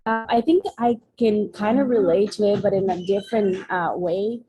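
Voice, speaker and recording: A woman from Brazil, a workshop participant, speaking quickly and casually with "uh" fillers. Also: mid-range voice, steady tone, dark tone, poor audio.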